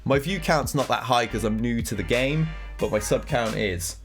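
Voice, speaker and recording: A man's voice: mid-range voice, normal speed, faint music behind. A man with a cold and a nasal voice, giving casual commentary on game news as a gaming content creator. He rambles and thinks aloud as he reacts.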